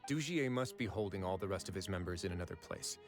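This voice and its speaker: An actor playing a composed male fortress warden in a video game, speaking in a calm, measured, authoritative way. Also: low voice. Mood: deliberate. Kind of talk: game cutscene voice acting. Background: faint game music.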